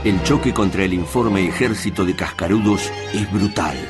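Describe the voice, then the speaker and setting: A man's voice: deep voice, moderate pace. A man narrating a radio drama from a script in a solemn, intense, dramatic manner. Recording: clear music under the voice.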